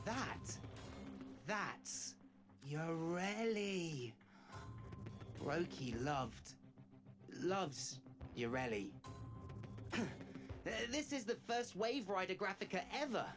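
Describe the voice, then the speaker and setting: A scrappy, earnest young street kid from a video game, speaking slowly with big swings in pitch. The slangy, heartfelt delivery sits over prominent music. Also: male voice, very animated, exaggerated voice. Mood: amazed. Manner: slangy, heartfelt, dropped grammar. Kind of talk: game cutscene acting.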